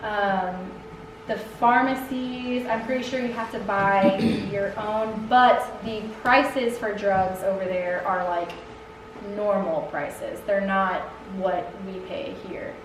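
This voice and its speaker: A woman's voice: mid-range voice, fairly even intonation, slow. Chatty woman, distant and echoey. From United States. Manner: chatty, laughs often, lots of fillers. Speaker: Peace Corps volunteer answering questions. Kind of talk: casual Q&A chat. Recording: echoey room, distant mic, background noise.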